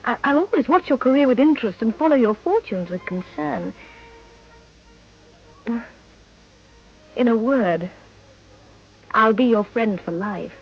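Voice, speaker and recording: Actress as earnest young English lady, high-pitched, decorous. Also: expressive, normal speed, dull audio, faint music behind, close to an everyday voice. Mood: polite, hesitant. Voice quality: refined, formal diction. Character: earnest young well-born Englishwoman, decorous yet passionately determined. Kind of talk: period radio drama acting.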